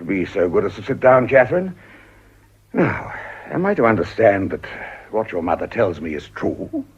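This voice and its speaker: A blustering, middle-aged English father of the upper class in a period radio drama. His voice is very husky and fairly low, with big swings of intonation. The recording is dull and lo-fi. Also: animated, normal speed. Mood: stern, pompous.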